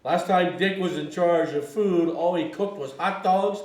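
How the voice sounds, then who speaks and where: Nosy wisecracking fisherman, acted by a man, high-pitched and teasing, recorded in a roomy space. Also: unhurried, a little mellow. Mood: needling. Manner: needling, mock-impressed. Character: nosy needling fishing buddy, mocking jokester. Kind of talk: screenplay table read. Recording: echoey room.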